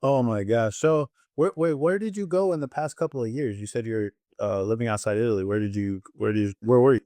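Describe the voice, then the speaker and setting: A man hosts a casual interview with a mid-range voice, neither high nor low. He fires off eager follow-up questions. The recording is close and dry. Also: brisk, mellow, no echo. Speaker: interview host. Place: in Hungary.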